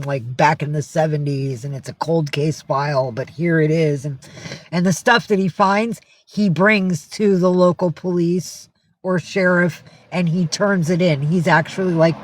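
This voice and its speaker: A man's voice: fairly high pitch, dry recording. A man hosting a casual live stream, answering viewer comments and rambling with lots of fillers and restarts. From San Francisco Bay Area.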